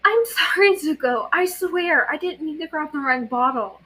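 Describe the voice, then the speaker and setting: A woman voicing a gentle, anxious young boy monk in a pleading, apologetic way, for an amateur story reading. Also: sits high, expressive, moderate pace, slight background noise, pitched above the performer's own voice, poor audio. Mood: guilty, pleading.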